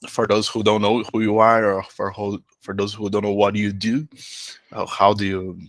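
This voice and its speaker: A man's voice: on the low side, little pitch movement, moderate pace, dry recording. A man from Brazil with a Brazilian Portuguese accent, hosting a casual interview, friendly and hesitant with many fillers. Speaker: interviewer host.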